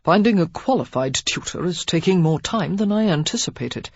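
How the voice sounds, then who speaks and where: A woman reading the lines of a stern, dry young aristocrat who speaks gruffly. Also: lowish voice, expressive, normal speed, dry recording, highs cut off.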